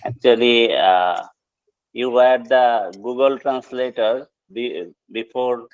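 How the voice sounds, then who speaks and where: A man's voice: mid-range voice, slow, highs cut off. A man politely asking a question in an online session, with many hesitations. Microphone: video call.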